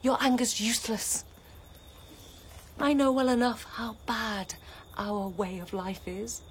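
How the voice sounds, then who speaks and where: An actress playing a cautious younger sister in a classical tragedy, speaking in a hushed, reproachful way. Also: female voice, on the high side, steady tone, takes it slowly, a little hiss or hum. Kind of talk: classical tragedy stage acting.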